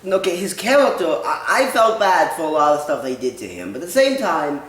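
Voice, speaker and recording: Guy talking about a movie, stumbles a lot, lively pitch, echoey room. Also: a little fast. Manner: hesitant, restarting, earnest. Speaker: film reviewer. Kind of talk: casual chat. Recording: echoey room, faint noise.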